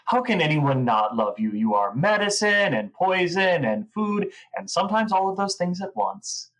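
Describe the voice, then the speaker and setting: A woman's voice: fairly low pitch, very animated, normal speed. A woman playing a gushing, rambling gnome who is obsessed with mushrooms, in a comedic audio drama. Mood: dreamy adoration. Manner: gushing, rambling, wide-eyed enthusiasm.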